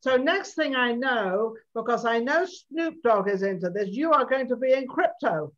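A woman's voice: on the high side, lively intonation, normal speed. An older woman hosting a talk show, asking long, rambling, chatty questions over a video call. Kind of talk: interview questions. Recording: slightly dull video call audio.

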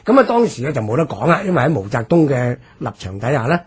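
A man with a Hong Kong Cantonese accent explains history off the cuff as a commentator, halting and drawing out his particles. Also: medium pitch, very animated, slightly rough. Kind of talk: unscripted explanatory discussion.

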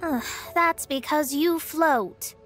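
A woman's voice: high voice, takes it slowly, a bit bright. A woman voicing a proud, self-important young female astrologer in a video game, with pompous, formal diction. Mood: weary, haughty. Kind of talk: anime-style video game acting. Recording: game music behind the voice.

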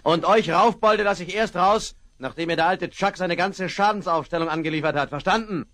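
A man's voice: sits high, normal speed, fairly bright tone. An actor playing a bluff, easygoing male sheriff in a 1970s radio play. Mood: gruff. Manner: bluff, scolding, reassuring.

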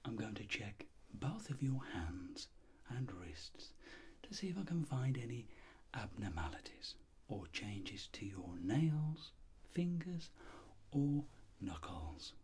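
A middle-aged man speaking in a breathy whisper, slow and reassuring, in an ASMR roleplay as a doctor examining a patient. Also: mid-range voice, big pitch swings. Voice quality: breathy whisper, soft-spoken. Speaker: ASMR performer acting as a doctor.

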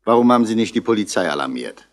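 An actor playing a dry, probing Paris police inspector in a 1960s German radio crime drama, questioning tersely. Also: male voice, lowish voice, sing-song, quick pace. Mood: probing, brisk.

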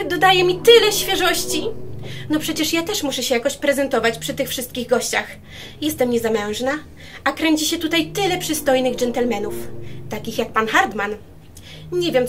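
A woman's voice: high-pitched, close to an everyday voice. A woman playing a chatty, deferential, gossipy maid in a radio play, speaking effusively. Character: chatty, gossipy unmarried hotel-style maid, eager to please. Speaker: amateur radio drama actor.

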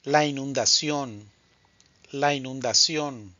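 A man teaching Spanish vocabulary in a scripted drill, speaking slowly and deliberately and saying each answer twice. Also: mid-range voice, pushed and bright, no room sound, muffled recording.

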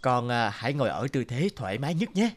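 A man voicing a patient, encouraging father in a cartoon, calm and instructive. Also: medium pitch, quick pace, natural voice. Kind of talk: cartoon dubbing.

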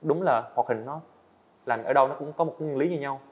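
A man's voice: mid-range voice, fast talker, lo-fi sound. A young man, a guest animator, earnestly explaining his work step by step in a casual interview on a studio set.

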